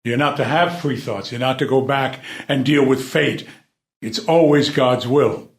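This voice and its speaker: A man argues his points emphatically in a casual literary discussion. His voice sits at a middling pitch, and the room adds a noticeable echo. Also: a little slow. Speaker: podcast discussion panelist. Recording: echoey room.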